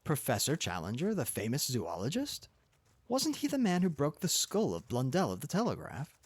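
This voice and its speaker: A man's voice: medium pitch, big pitch swings, brisk, husky, dry recording. A man voicing an earnest, polite young newspaper reporter who is keen for adventure, in an audiobook story. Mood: startled.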